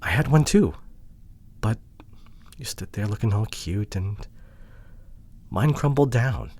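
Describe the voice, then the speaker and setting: A man acts out a hurt, introverted young boyfriend in an intimate audio drama. His voice sits fairly low and is very breathy and husky. He talks in a hushed, halting way, frustrated and vulnerable as he opens up to his partner. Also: animated, heavily acted. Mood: wistful, hurt.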